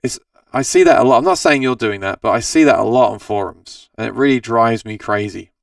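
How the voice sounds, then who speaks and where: A man with a tired, scratchy voice hosts a casual live chat about guitars, rambling from one point to the next and often restarting his sentences. His tone is gravelly yet smooth underneath, and the sound is dry, sitting a little back from the microphone. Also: mid-range voice, fairly quick, no room sound, far from the mic. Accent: Australian. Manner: rambling, self-correcting, chatty. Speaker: host of a live gear Q&A stream. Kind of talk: casual live-stream chat answering viewer comments.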